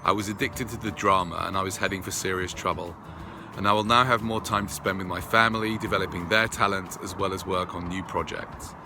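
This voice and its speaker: A man earnestly reading out a prepared personal update to his followers in a deliberate way. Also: low-pitched, steady tone, music in the background. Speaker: video creator addressing followers.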